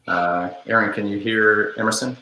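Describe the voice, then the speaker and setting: A man's voice: fairly low pitch, steady tone, moderate pace, pushed and bright. A man leading a casual work call, giving direction while checking that the others agree. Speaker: team lead running a release call. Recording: echoey room on online call.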